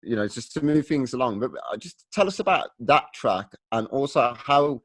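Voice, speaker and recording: A British man hosts a casual podcast interview in a mid-pitched voice. His sound is dry, with no room echo. He chats informally and teases his guests in a jokey way. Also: animated, moderate pace, fairly bright tone. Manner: jokey, teasing banter. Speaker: podcast host.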